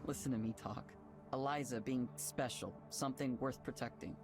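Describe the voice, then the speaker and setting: A man's voice: medium pitch, moderate pace, over music, lightly acted. An actor playing a conflicted, apologetic young man, a chief engineer, in a naturalistic visual novel game, speaking reflectively. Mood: earnest, conflicted. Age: young adult.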